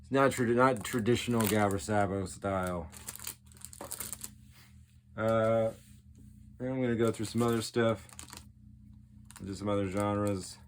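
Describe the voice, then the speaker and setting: A low-pitched man talks off the cuff like a collector hosting a homemade video. His delivery is casual and geekily enthusiastic, rambling from one remark to the next. There is audible background noise behind him. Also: fairly even intonation, a little slow, long pauses. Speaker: record collector, video host. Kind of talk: casual show-and-tell, off the cuff.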